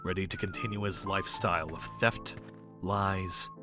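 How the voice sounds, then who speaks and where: A man's voice: deep voice, normal speed. A man reading scripted story narration for an audio drama, with music playing underneath.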